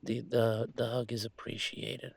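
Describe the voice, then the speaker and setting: A man playing an upset young werewolf venting about his father in an intimate audio roleplay, speaking in a halting, emotional, rambling way. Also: mid-range voice, monotone, vocal fry, dry recording. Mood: weary, hurt.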